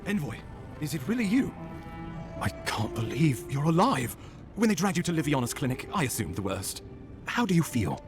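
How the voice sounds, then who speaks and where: An actor playing a concerned imperial official in a fantasy video game. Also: male voice, on the high side, sing-song, moderate pace, music in the background. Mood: relieved, concerned. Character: relieved, concerned male imperial official welcoming an envoy.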